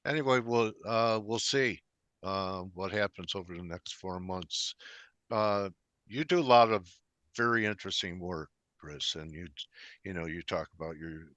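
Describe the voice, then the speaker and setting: A man hosting a casual interview, talking in a wry, conversational and gently teasing way. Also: low-pitched, dry recording. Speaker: interview host.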